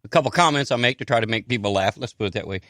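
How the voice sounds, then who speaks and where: Fast-talking, bright-voiced male guest. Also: mid-range voice, no room sound, clean studio sound. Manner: joking, folksy, quick-talking. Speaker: studio guest, waiter and amateur comic. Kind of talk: casual radio chat. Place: radio studio. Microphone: studio microphone.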